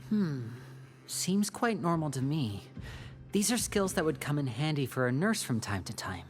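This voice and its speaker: Game role: young gentleman magician, deliberate, articulate, composed thinker with a protective streak. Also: a little high, very animated, soft background music. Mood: calm, analytical. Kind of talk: video game voice acting.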